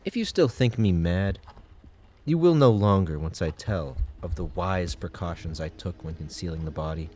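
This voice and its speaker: A deep male voice of an actor plays an unhinged, obsessive killer narrating his confession. The delivery is intense and theatrical, sinking to hushed secrecy and rising to loud insistence. It is a gothic audio drama with some noise behind it. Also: expressive, normal speed. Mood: gloating.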